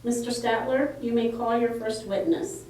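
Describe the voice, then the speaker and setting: A woman playing a brisk, mildly impatient trial judge in a puppet courtroom re-enactment, with a curt, procedural manner. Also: a little high, fairly even intonation, a little mellow, natural voice. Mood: formal. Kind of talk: courtroom re-enactment read from a transcript. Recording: echoey room, distant mic, faint noise.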